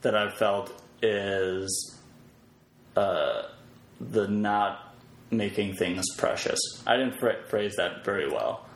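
A man speaking as a podcast guest in casual conversation, thinking aloud in a reflective, halting way. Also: on the low side. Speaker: podcast guest, web developer and comedy performer. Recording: roomy echo, faint background noise.

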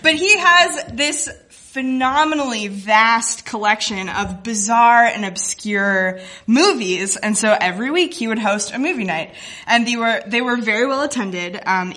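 A woman tells a humorous story to a live audience. Her voice is fairly high with a bright, crisp edge. Her delivery is wry, facetious and self-deprecating. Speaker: guest cartoonist telling a story. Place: live event in a room with an audience.

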